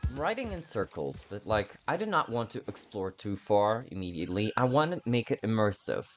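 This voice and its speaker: A man's voice: lowish voice, sing-song, a little fast, noisy background. A man giving casual video game commentary, thinking aloud in a rambling, self-mocking way over game sounds.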